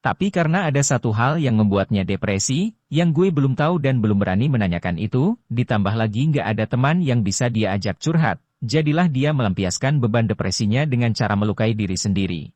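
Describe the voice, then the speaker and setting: A man's voice: medium pitch, animated, fairly quick, darkish tone, no room sound. Man reading a slangy novel. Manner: casual Jakarta slang narration. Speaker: audiobook narrator. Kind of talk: first-person novel reading.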